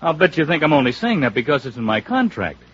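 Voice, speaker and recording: A flippant showbiz employee in a fifties radio play. His slightly high voice swings widely, glib and mockingly grand. The old recording sounds muffled. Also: sing-song, quick pace, fairly bright tone, slight background noise. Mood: mock-grandiose. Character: flippant, sarcastic male show-business employee. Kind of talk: 1950s radio drama acting.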